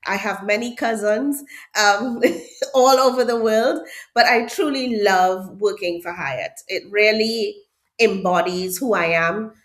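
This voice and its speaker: A woman's voice: mid-range voice, slow pace. Emphatic Trinidadian woman, middle-aged. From Trinidad and Tobago. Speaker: hotel HR director, podcast guest. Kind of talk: interview answers.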